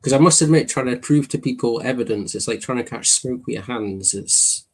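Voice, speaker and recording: A man's voice: mid-range voice, brisk, breathy edge. A man, chatting earnestly and self-deprecatingly as a podcast guest. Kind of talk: casual chat.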